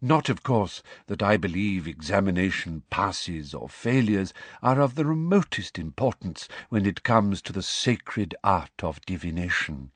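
A misty, dreamy schoolmistress of divination played by a man for an audiobook. The voice is airy and lofty and drifts widely in pitch, trailing off delicately, in a dry close recording. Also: no echo, exaggerated voice. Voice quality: misty, breathy delivery.

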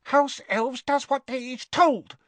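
A man voicing a tiny, timid female house elf from a storybook, with a quivering squeak and meek, servile broken grammar. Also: fairly even intonation, slow pace, fairly bright tone, no room sound, full character voice. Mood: scolding, firm.

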